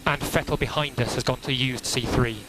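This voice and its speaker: A man working as a race engineer, giving calm, procedural briefings over team radio and repeating key instructions in a measured way. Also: medium pitch. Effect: team radio transmission. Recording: crackly noisy motor racing team radio.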